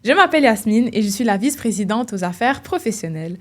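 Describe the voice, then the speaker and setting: A woman's voice: a little high, very animated, fast. A young woman hosting a student podcast, asking informal, friendly questions with some fillers. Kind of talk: podcast interview.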